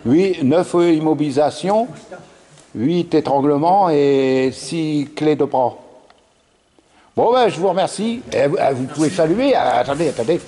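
Upbeat guy filming and asking questions, kinda high voice, lots of "hein?", big echoey gym. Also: measured pace. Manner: lively, prompting, many tag questions. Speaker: interviewer filming at the club. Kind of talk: casual chatty interview. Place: large indoor judo dojo. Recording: echoey hall, faint noise.

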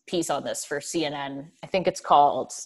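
A woman of thirty-two, a comedian guest on a podcast, talking over a video call from home in a relaxed, reflective way with casual swearing. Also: deep voice, normal speed. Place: bright room at home, indoors. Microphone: over-ear headphones on a video call.

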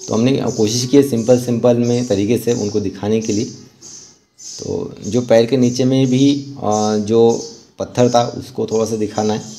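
A man narrating a step-by-step art tutorial as an instructor, often pausing mid-sentence. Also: mid-range voice, steady tone, dark tone, slight background noise.

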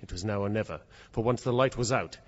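A man's voice: on the low side, fast, husky. An actor playing a formal, intense man in mortal fear, telling his story in a radio drama, on a dull, noisy recording. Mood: hushed dread. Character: terrified male narrator trapped with a predatory cat. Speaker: narrator and lead character.

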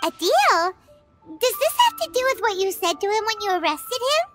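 A woman voicing a tiny, naive, childlike fairy girl companion in a cartoonish video game, with a squeaky delivery. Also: sing-song, normal speed. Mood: worried, curious. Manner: squeaky, refers to herself in third person. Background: tinkling game music.

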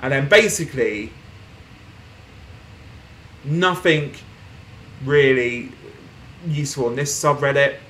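A young man casually commenting on a desktop game as he plays, reading posts aloud and laughing softly, recorded at home with faint background noise. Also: medium pitch, takes it slowly, pauses often. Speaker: gaming streamer. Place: small room at home, indoors.

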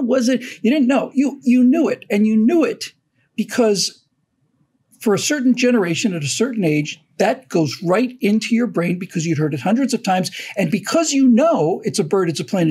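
Husky-voiced older male host. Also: high-pitched. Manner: animated storyteller, frequent restarts. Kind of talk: casual commentary chat.

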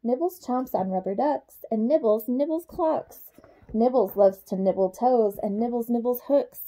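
A young adult woman reading a children's picture book aloud in an expressive way, speaking to young children. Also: a little high, moderate pace, rough recording. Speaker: childcare supervisor reading a bedtime story.

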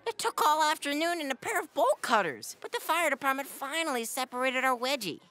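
High-voiced glum cartoon schoolboy. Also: female voice, very animated, brisk, bright, forceful tone, exaggerated voice. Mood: glum, matter-of-fact. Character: glum, self-important gangly schoolboy. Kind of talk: animated cartoon acting. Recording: music behind the voice.

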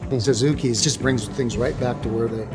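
A man's voice: mid-range voice, fairly even intonation, a little fast. Matter-of-fact man over ad music. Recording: ad with music bed.

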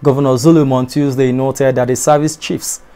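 A man's voice: medium pitch, little pitch movement, mellow, smooth. Man reading TV news. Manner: measured formal newsreading, dipping at sentence ends. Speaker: newscaster. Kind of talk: read news bulletin. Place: TV studio in front of a backdrop.

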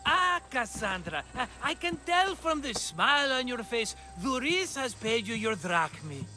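High-voiced, wheedling schemer. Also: male voice, sing-song, measured pace, bright, forceful tone, soft background music, full character voice. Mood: coaxing. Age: middle-aged. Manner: ingratiating, theatrical, glib. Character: wheedling, theatrical middle-aged Greek schemer, slippery and cheerful. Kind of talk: video game cutscene acting.

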